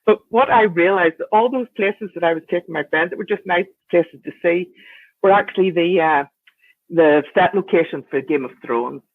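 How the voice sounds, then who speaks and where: A woman's voice: lowish voice, normal speed, no room sound. A woman with a Northern Irish accent, a guest telling a long, chatty story in an interview. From Northern Ireland. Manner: chatty and anecdotal, with frequent restarts. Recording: tinny phone-like line, dry.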